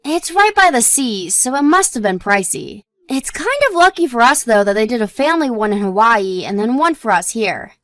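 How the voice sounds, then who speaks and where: A woman playing a supportive, modest young wife in scripted story dialogue, speaking in a measured, reassuring way. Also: a little high, animated, a bit bright, no room sound. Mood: chatty, even-tempered.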